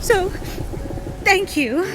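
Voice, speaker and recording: A woman's voice: very high-pitched, lively intonation, extremely slow, heavy background noise, heavily acted. A woman playing a theatrical goddess of love in a video game, airy and formal in manner, with music behind her. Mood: serene, polite. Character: goddess of love, gentle, serene, then dramatically distraught. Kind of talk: animated video game acting.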